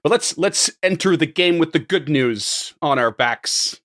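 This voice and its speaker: Slightly hoarse man, dry close sound. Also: a little high, animated, a little slow, fairly bright tone, no room sound. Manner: rambling, laughing often. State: a little hoarse. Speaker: game master. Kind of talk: casual tabletop podcast chat.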